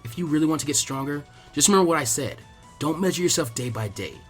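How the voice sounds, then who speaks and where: A man's voice: fairly high pitch, animated, fast talker, soft background music, lightly acted. A man voicing a teenage girl ninja in a story reading, casual and teasing, a supportive mentor to a younger one. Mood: reassuring. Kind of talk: audiobook character acting.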